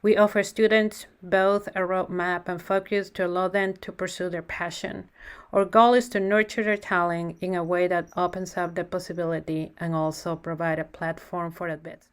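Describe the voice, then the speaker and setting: A woman's voice: on the low side, flat delivery, moderate pace, clean tone. A woman narrating a children's picture book aloud. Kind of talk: storybook read-aloud narration.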